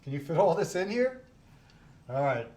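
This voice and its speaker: A man hosting a studio food show, greeting viewers with drawn-out enthusiasm and chatting easily with his guest. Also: a little high, sing-song, mellow. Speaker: host. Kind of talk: casual interview.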